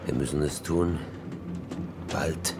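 An actor playing a starving shipwrecked man in a radio horror drama, grimly resolved yet soft-hearted, talking in a halting, urgent, persuasive way. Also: extremely low pitch, steady tone, heavy background noise.

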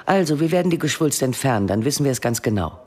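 An actor, a man, playing a calm, candid, reassuring doctor in a radio drama. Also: mid-range voice, fast, lightly acted.

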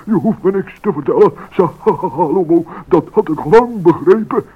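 A bumbling, sly cartoonish mole in a dull old radio recording. His voice is very high and husky. He speaks slowly, stammering and chuckling. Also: expressive, full character voice.

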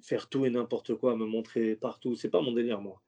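A man's voice: medium pitch, steady tone, moderate pace, warm tone. A man who is a stand-up comedian, talking loosely in a casual interview, slangy and rambling with many restarts. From French suburbs.

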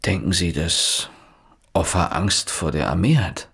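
A lowish, raspy police inspector from a radio crime play. He is sober and guarded, and puts things in clipped, factual terms. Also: lively intonation, unhurried, fairly bright tone, full character voice. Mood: businesslike. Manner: terse, matter-of-fact questioning. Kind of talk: radio drama dialogue and inner monologue.

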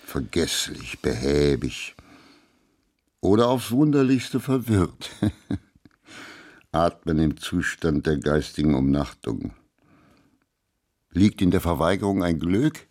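A deep-voiced, weary, brooding musician. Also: male voice, a little mellow. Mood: brooding, confused. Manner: slow, brooding, musing. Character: Gottfried Reiche, weary, troubled male trumpeter, brooding and melancholy. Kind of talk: German radio drama acting.

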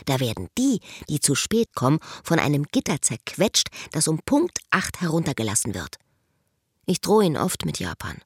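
A woman acting as a reflective schoolteacher, telling her own story in measured, literary radio drama monologue. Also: low-pitched, sing-song, breathy edge, full character voice. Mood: wry.

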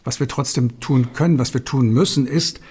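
An actor playing a solemn male television talk show host in a radio drama, speaking formally and gravely with deliberate pauses. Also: mid-range voice, a little mellow, close to an everyday voice. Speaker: TV presenter. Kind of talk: radio drama, staged studio presentation.

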